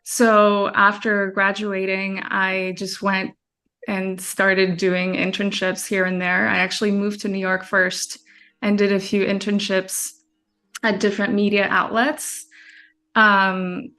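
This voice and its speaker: A woman answers interview questions about her filmmaking work. She talks in a loose, chatty way, restarting sentences and laughing easily, at a middle pitch with faint music behind her. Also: little pitch movement, slow, sharp tone, clean tone. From France. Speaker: documentary filmmaker, guest.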